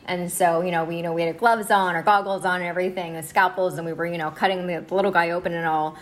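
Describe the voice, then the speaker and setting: A woman in her forties, a high school science teacher, telling her story in a casual interview with many fillers and easy laughs. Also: fairly even intonation, fast talker. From United States. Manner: chatty, many fillers and "okay"s, laughs easily.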